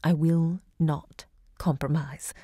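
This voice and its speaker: An actress playing a self-assured literary salon hostess in an audio drama, poised and savouring each word. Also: female voice, low-pitched, steady tone, slow pace, dark tone, no room sound, studio quality. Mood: resolute, proud.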